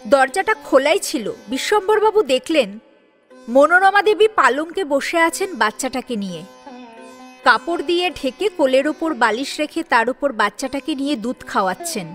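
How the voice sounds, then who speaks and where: High-voiced woman narrating a serial story. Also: animated, moderate pace, a bit bright. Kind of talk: dramatic audio story narration. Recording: music under the voice. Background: sitar instrumental music.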